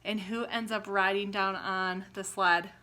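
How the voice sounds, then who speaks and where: A woman teacher retelling a story with props for young children, speaking simply, asking the listener questions and acting out exclamations in an animated way. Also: mid-range voice, unhurried.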